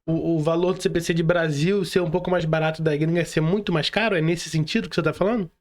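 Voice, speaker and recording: A man's voice: a little high, steady tone, quick pace. A man with a Brazilian accent hosting a casual interview, speaking in slang and repeating words for emphasis. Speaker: podcast host.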